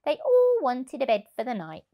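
A woman in her thirties narrating a picture book for young children, expressively and deliberately. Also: sits high, huge pitch swings, muffled recording. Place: indoor room, on a sofa. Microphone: lapel mic.

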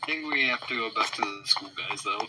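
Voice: mid-range voice, brisk, far from the mic, very noisy. A person chatting playfully and informally in a casual game roleplay.

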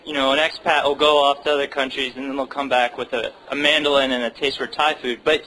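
A man's voice: mid-range voice, level tone, fast, pushed and bright, a little hiss or hum, rough recording. A man hosting an interview, asking prepared questions in a slightly formal way.

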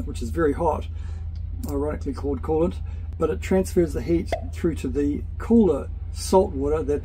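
A man's voice: sits high, low-quality recording. A man in his forties with a New Zealand accent, explaining boat engine work to a handheld camera on his sailboat. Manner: chatty, practical, explains boat mechanics step by step. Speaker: sailing vlogger. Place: sailboat, outdoors at sea and on deck at night. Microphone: handheld camera at arm's length. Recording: loud wind and engine noise.

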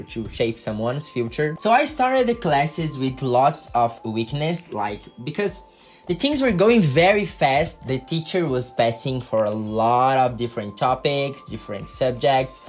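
A young man from Brazil, speaking English with a Brazilian Portuguese accent as a second language, telling his story to camera in an earnest way, full of "like" fillers. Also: medium pitch. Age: young adult. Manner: earnest, frequent "like" fillers, gestures with hands. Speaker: YouTuber. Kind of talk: personal story vlog to camera. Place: bedroom with colored lights.

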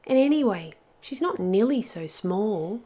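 A woman voicing a lost little monkey child in a storybook, pleading and exasperated, with emphatic, drawn-out words. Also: medium pitch, animated, warm tone, voice pushed up.

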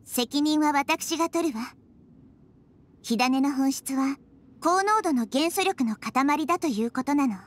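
A woman voicing a tiny, childlike nature goddess in an anime game, soft and girlish, speaking in a measured, earnest, gentle way. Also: sits high. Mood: calm resolve. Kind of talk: Japanese anime game voice acting. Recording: studio voice over background music.

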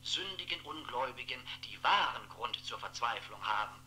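A sharp, fervent telephone priest. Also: male voice, mid-range voice, expressive, moderate pace. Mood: fervent admonishing. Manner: solemn, fervent, admonishing. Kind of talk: recorded sermon in 1960s radio play. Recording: humming, noisy telephone line.

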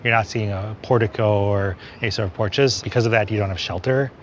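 A man's voice: lowish voice, fairly quick. A man in his forties, an architect, giving an on-camera walking tour outdoors, explaining buildings in a conversational way with many small hedges. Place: outdoors on a city street. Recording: city street noise behind.

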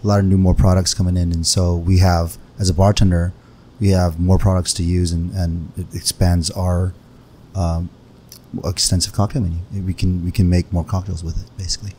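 A man's voice: level tone, normal speed, mellow. A man, a bartender guest on a podcast, casually explaining things at a close microphone in a bar lounge. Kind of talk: casual explanatory interview answers. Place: wood-panelled bar lounge, indoors. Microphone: close podcast microphone on stand. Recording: low rumble and faint room noise.

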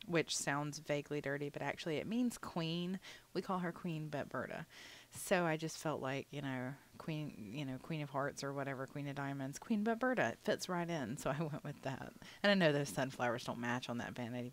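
A young woman with a low voice talks loosely over her gameplay, full of ums and side remarks. She sounds a little sniffly, as if her nose is stuffed. It is a home-recorded voiceover, relaxed and chatty. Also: normal speed. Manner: rambling, chatty, many fillers. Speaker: gaming channel host. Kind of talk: casual voiceover commentary on a building game.

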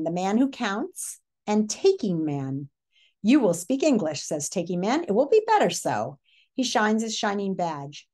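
Woman teacher reading aloud, deliberate storyteller. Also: mid-range voice, a little mellow. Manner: deliberate storytelling, pausing to pose questions. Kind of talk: read-aloud lesson with questions to pupils. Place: small furnished living room or hotel room, indoors.

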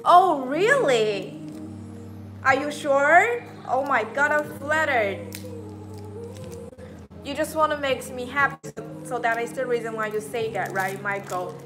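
A woman's voice: sits high, lively intonation, takes it slowly, long pauses, over music. A young woman with a Chinese accent hosting a live stream in a beauty showroom, chatting to viewers and repeating her words. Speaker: live stream host selling beauty machines. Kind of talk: live stream chat reading viewer comments. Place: indoor showroom with beauty machines.

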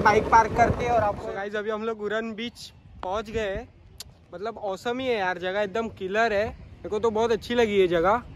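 A young man talks through a moto vlog in a high, light voice, rambling in a chatty way full of fillers. He is outdoors on a moving motorbike. Loud traffic and wind noise roar behind him from a phone stuck to his helmet. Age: young adult. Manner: chatty, filler-heavy, talking while riding. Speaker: vlogger. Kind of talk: casual moto vlog commentary. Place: outdoors on a motorbike on dusty roads, then a seaside spot.

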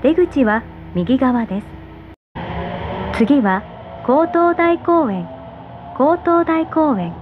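A high-voiced woman reads formal subway announcements with careful, measured diction. The sound is dull, as if recorded from a carriage speaker, with clear train noise around it. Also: sing-song. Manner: careful, measured station-name enunciation. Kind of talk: scripted transit announcements, polite and formal. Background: running subway train noise.

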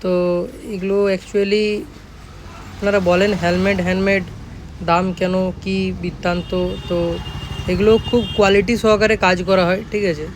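A man's voice: high-pitched, little pitch movement, normal speed, pauses often, noisy background. A man with a West Bengal accent giving casual vlog commentary as a workshop owner, repeating his points and often checking for agreement. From Jhargram, West Bengal, India. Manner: repeats points, often adds tag phrases seeking agreement. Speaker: speaker workshop owner presenting a video.

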